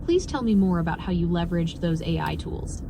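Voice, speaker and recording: A woman's voice: animated, fairly quick, creaky. A woman interviewer speaking politely and formally, with affirming remarks and questions that sound scripted. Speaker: interviewer, AI reporter. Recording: noisy recording with vehicle-like rumble.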